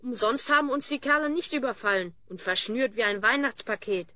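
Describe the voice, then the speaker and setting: An actor playing a calm, earnest young male hero from another world, patient and instructive, in a fantasy audio drama. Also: mid-range voice, brisk, dry recording, studio quality, close to an everyday voice.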